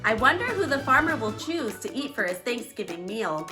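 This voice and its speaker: Female kids' storybook narrator, slightly high register, highly animated melody, dramatic emphasis, expressive read-aloud host style. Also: moderate pace, music in the background.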